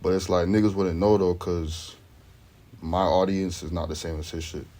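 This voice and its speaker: A man's voice: deep voice, fairly even intonation, moderate pace, warm tone. A young man from Sweden, a rapper, chatting in a laid-back, slangy way as the interview guest. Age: young adult. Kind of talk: casual interview chat.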